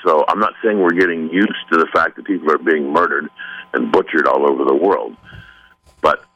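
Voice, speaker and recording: A man guest with a sore throat, speaking earnestly in a phone-in interview, halting with many restarts. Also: rumbling low, bright, forceful tone, lo-fi sound. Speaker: author guest.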